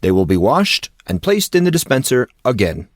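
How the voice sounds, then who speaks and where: A man's voice: mid-range voice, big pitch swings, normal speed, no room sound, studio quality. A man voicing an eccentric male coach who speaks in stiff, courtly phrases. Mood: grandly polite. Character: formal eccentric male coach, grandly polite.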